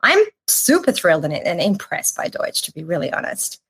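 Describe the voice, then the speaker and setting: A woman in her forties, from Iran, telling her story as a podcast guest, lively and quick to restart her sentences. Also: fairly low pitch, husky. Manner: animated storytelling with restarts and casual swearing. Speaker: podcast guest, agency creative chief. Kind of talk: casual interview chat.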